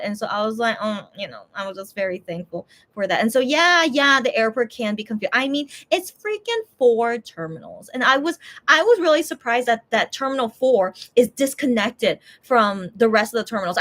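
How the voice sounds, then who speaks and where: A chatty woman talks straight to her viewers in a relaxed, casual catch-up. Her voice sits a little high. She wanders from thought to thought with plenty of restarts and fillers. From USA. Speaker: YouTuber, channel host.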